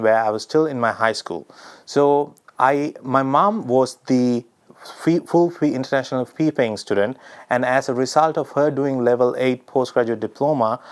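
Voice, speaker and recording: A young man in his twenties from Sri Lanka talks casually to camera as a vlogger, filling his story with ums and uhs. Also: mid-range voice. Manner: chatty and personal, with many ums and uhs. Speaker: immigration advice vlogger.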